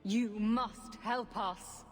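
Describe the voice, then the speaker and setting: A woman's voice: on the high side, fairly even intonation, very slow. An actress playing a zealous, determined woman mage in a fantasy video game, earnest and insistent in her speech. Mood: insistent, resolved. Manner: earnest, insistent, coolly persuasive. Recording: long hall reverb, background ambience noise.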